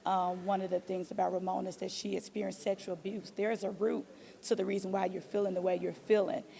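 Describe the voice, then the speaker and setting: A woman's voice: medium pitch, level tone, quick pace, gravelly, dark tone. A woman actor giving reflective interview answers in an earnest, encouraging way. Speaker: stage play actor being interviewed.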